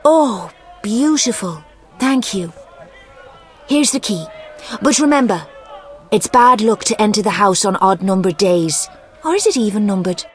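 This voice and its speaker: A woman's voice: medium pitch, expressive, a little slow, pauses often, natural voice. A woman playing a flirtatious, worldly gambler with a coy, teasing manner, in a video game. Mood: pleased, coy.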